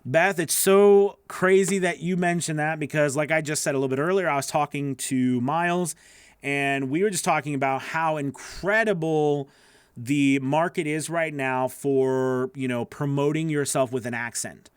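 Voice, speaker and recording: A man answers viewer questions on a casual livestream, his voice sitting a little high. He rambles, restarts and leans on filler phrases. The sound is close and dry, with no room echo. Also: normal speed, smooth. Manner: rambling, self-correcting, lots of you know. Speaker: voice-over coach answering live questions.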